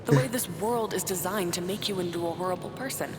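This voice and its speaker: A woman's voice: on the low side, fast talker, very noisy, natural voice. A woman playing a bitter, cynical character in a naturalistic, dramatic video game scene. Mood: bitter, resigned. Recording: very echoey, loud music behind.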